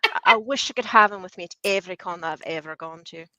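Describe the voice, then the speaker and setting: A woman co-hosting a casual chat, telling a story in a playful, animated way. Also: on the low side, fast, no echo, low-quality recording. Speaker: podcast co-host.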